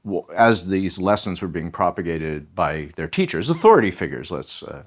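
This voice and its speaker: A man with a lowish voice, explaining things in a measured, thoughtful way. He pauses on ums and restarts phrases as he goes, like a professor talking casually as a podcast guest. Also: big pitch swings. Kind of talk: conversational podcast interview.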